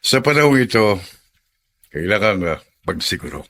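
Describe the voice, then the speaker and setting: A man's voice: fairly low pitch, big pitch swings, moderate pace, creaky, a bit bright, heavily acted. A man with a Filipino accent playing a self-important, prosperous middle-aged congressman in a radio drama, assured and commanding. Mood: grave.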